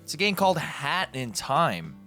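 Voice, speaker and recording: A man's voice: fairly high pitch, very animated, normal speed, bright, forceful tone. The kind of Canadian guy heard on a laid-back commentary channel, wandering off on tangents over a bed of guitar music. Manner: informal, digressive, self-correcting. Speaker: video host. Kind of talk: casual rambling commentary.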